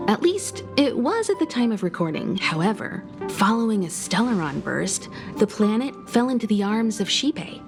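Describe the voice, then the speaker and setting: A woman voicing a composed, worldly space traveler in a video game, measured and reflective. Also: mid-range voice, sing-song. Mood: composed, informative. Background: music.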